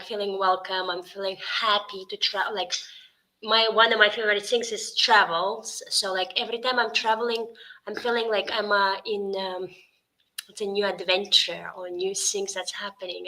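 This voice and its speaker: Bright-voiced woman. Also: mid-range voice, low-quality recording. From Russia. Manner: halting non-native English, full of "like" and "you know". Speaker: podcast guest. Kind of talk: casual interview conversation.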